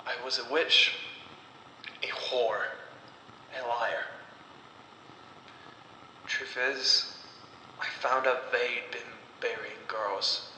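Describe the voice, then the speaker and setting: A man voicing a bitter, defiant condemned woman in a horror story, speaking gravely with deliberate pauses. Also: medium pitch, sharp tone. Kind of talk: slow dramatic horror storytelling. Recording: echoey, long reverb, background noise.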